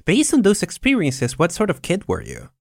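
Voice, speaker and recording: Lively-voiced male podcast host. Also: high-pitched, vocal fry, dry recording, studio quality. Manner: enthusiastic, quick to laugh and react. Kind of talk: interview questions.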